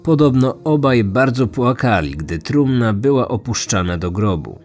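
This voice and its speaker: A man's voice: mid-range voice, lively intonation, moderate pace, a little mellow. A man hosting a podcast with scripted true-crime narration. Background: faint music.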